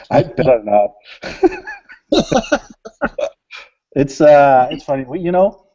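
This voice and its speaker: A man's voice: on the high side, very slow, long pauses, muffled recording. A man, a music producer, chatting casually in an interview and rambling with lots of fillers. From Denmark. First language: Danish. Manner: relaxed, rambling, many fillers and laughs. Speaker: electronic music producer, guest.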